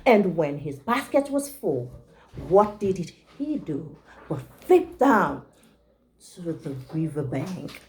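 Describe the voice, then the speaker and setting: A woman's voice: on the low side, big pitch swings, takes it slowly, a little mellow. A woman reading a storybook aloud to young children like a teacher, with simple asides to them.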